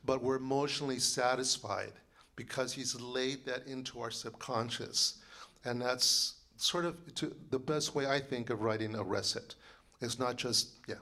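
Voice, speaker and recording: A man in his sixties, a composer and librettist, giving a loud, rambling informal lecture full of fillers and restarts. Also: mid-range voice, fairly even intonation, unhurried. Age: 60s.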